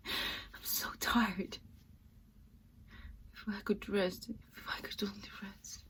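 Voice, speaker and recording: A woman playing a fragile, distraught young actress in a dramatic, halting stage monologue. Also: on the low side, fairly even intonation, a little hiss or hum. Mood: weary anguish. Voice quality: breathy, often dropping to whispers. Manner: halting, intense, swinging from hushed to loud.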